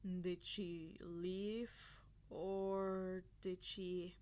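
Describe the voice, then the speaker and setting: A woman voicing a patient adult male hero and teacher, careful, calm and reassuring in an audio story. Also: on the low side, extremely slow, clear, resonant tone, pitched below the performer's own voice. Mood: gentle, coaxing.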